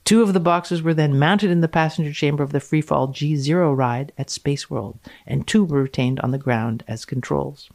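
A woman reading a scientific paper aloud in a measured, precise way with dry amusement, as a podcast guest. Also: deep voice, darkish tone.

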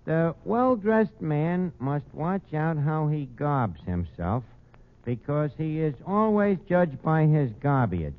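Brash New York barkeep, high animated voice, pompous wisecracker, vintage radio comedy. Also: male voice, slow, heavily acted. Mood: distracted, haughty. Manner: pompous malapropisms, streetwise slangy talk. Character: brash, dim, wisecracking male tavern manager mangling big words. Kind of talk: 1940s radio comedy acting. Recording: muffled old radio recording, clear noise.

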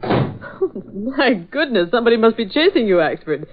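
An actress playing a teasing, wisecracking newspaper secretary in a 1940s radio drama. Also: female voice, on the high side, sing-song, normal speed. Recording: tinny, hissy old radio recording.

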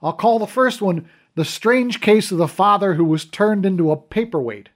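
A brash, mocking police officer who speaks loudly and cockily, as a man plays him. His voice is raised and jumps around in pitch. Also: lively intonation, moderate pace. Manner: loud, cocky, teasing.

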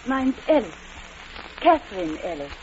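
A woman's voice: mid-range voice, sing-song, measured pace, darkish tone, a little hiss or hum. An actress playing a spirited, friendly young lady with a playful, genteel manner, in an old-time radio drama. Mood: friendly, breezy. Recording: dull old radio recording.

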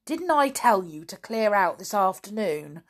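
A woman voicing a bad-tempered circus man who shouts angry threats, reading a storybook aloud. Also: mid-range voice, very animated, a little slow. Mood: furious.

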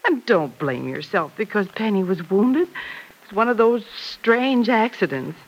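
An actress playing a gentle, motherly middle-aged woman in an old-time radio drama, anxious but soothing and forgiving. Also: mid-range voice, expressive, unhurried, poor audio. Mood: anxious, consoling. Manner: soothing, earnest, maternal. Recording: vintage radio recording.

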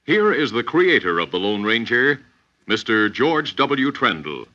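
A male announcer from 1950s radio drama, declaiming grandly with wide, swooping pitch. His voice is forceful and bright, heard on a muffled old recording. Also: very animated, unhurried. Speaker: announcer and narrator.

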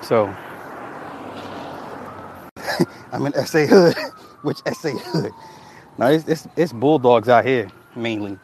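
A man talks casually on a livestream, reading comments and telling stories. He speaks at an average pitch, swears freely, and laughs often. A faint hum of background noise sits behind his voice. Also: expressive, takes it slowly, long pauses, darkish tone. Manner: loose, profane storytelling, laughing at chat comments. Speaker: livestream host reading chat.

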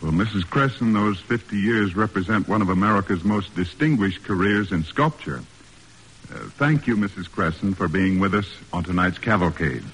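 A man's voice: low voice, moderate pace, a bit bright, husky, poor audio. A man announcing a radio program in formal 1940s broadcast style. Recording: old radio broadcast with music behind.

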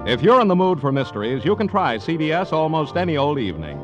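A man's voice: a little high, sing-song, fast. An American man announcing for network radio in a 1950s broadcast style. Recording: dull old radio broadcast recording. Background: music.

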